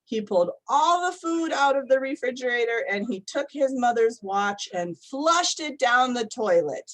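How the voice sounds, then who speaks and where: A woman reading a children's story aloud in a gentle, repetitive storybook cadence. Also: on the high side, animated, moderate pace, poor audio.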